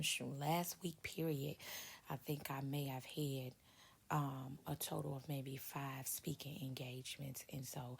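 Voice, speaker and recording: A woman who writes and speaks on leadership, giving a casual motivational talk to her viewers in a hoarse voice, stressing her points by repeating them. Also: very deep voice, darkish tone, slight background noise. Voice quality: raspy, husky. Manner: emphatic, repeats key points.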